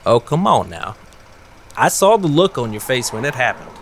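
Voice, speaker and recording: An actor playing a pushy, excitable conspiracy-minded truck driver in an audio drama, firing eager, prodding questions. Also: male voice, mid-range voice, moderate pace, background noise.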